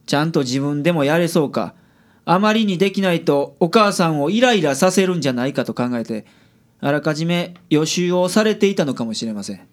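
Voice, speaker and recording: Man acting gruff Kansai detective, swooping delivery. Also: fairly high pitch, expressive, lightly acted. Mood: measured, kind. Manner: blunt with colleagues, measured and polite with clients. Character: gruff, sardonic male private detective, shrewd and secretly kind. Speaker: detective in a radio drama. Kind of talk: radio drama acting.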